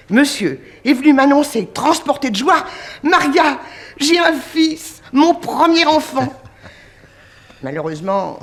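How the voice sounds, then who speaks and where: An actress playing a devoted old French housekeeper, folksy, chatty and sentimental, in a 1960s radio serial. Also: female voice, medium pitch. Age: older. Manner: folksy, garrulous working-class servant speech.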